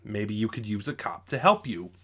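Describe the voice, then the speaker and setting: A man's voice: fairly low pitch, big pitch swings, normal speed, bright, forceful tone, husky. A man reviewing audio dramas in unscripted commentary, thinking aloud and often rephrasing himself mid-sentence.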